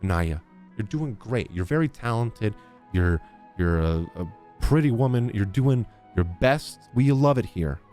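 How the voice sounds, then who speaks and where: A woman streaming a game with casual, expressive, jokey reaction commentary and exclamations. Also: extremely low pitch, measured pace, soft background music.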